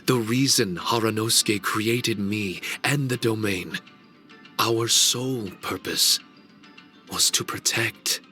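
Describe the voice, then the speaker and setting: A man voicing an ancient male guardian spirit in a video game cutscene, formal, measured and grave. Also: fairly low pitch, bright, forceful tone, faint music behind. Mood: solemn.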